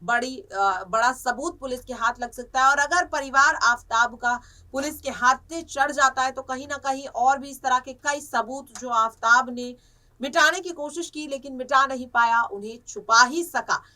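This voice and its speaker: A woman's voice: high voice, normal speed, pushed and bright, far from the mic. A woman presenting a semi-scripted news commentary, with emphatic, repetitive phrasing.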